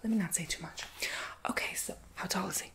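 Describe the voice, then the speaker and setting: A woman whispers in a fairly low, breathy voice. She plays a shop clerk in an ASMR roleplay, chatting playfully to a customer. Her delivery is informal, with slangy little asides. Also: quick pace, fairly bright tone. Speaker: ASMR performer playing a shoe shop clerk.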